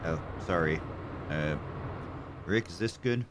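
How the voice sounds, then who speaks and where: An actor playing a nervous, absent-minded taxi driver in an audio drama, hesitant and given to thinking aloud. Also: male voice, low voice, takes it slowly, noisy background. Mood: uneasy. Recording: vehicle ambience behind the voice.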